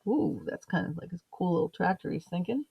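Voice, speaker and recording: A woman reading a picture book aloud expressively like a teacher, pausing to put questions and asides to young listeners. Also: lowish voice, gravelly, slightly rough, no room sound, highs cut off. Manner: animated, asks the listeners questions.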